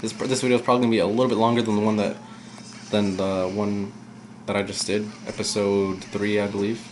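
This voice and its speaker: A young man giving casual, rambling commentary while playing a video game, his voice low and at times monotone. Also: a little fast, slight background noise. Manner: rambling, chatty, drifts off topic. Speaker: let's play gamer. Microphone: camera aimed at the game screen.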